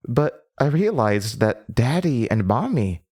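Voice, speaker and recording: A man playing a disturbed, haunted storyteller in a horror audio drama, speaking in a halting, theatrical way over music. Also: mid-range voice, very animated, darkish tone. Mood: dreamy, unhinged. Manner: halting, theatrical, with nervous laughter and drops into whispers. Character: disturbed, haunted storyteller recounting a horrifying childhood. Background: prominent music.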